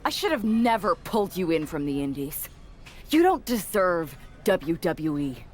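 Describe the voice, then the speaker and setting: A woman's voice: fairly low pitch, very animated, measured pace, faint music behind. A woman voicing a betrayed, defiant female wrestler giving an accusing, resolute promo in a wrestling video game. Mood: cold, resolute.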